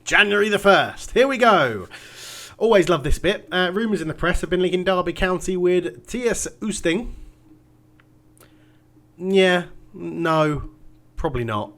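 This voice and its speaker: A man's voice: sits high, expressive, unhurried, pauses often, a bit bright. A man, congested and nasal from a cold, chatting casually through his football management game. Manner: chatty and rambling, self-mocking. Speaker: game commentator. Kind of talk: casual gaming commentary.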